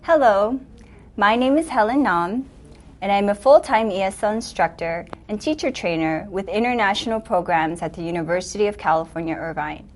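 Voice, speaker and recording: A woman's voice: mid-range voice, normal speed. Composed woman teacher trainer. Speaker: English instructor and teacher trainer. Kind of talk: scripted self-introduction.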